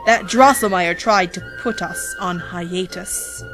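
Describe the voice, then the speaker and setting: A woman's voice: on the low side, slow, over music, poor audio. A woman narrating a rhymed storybook parody in a theatrical, playful way, drawing out words for dramatic emphasis.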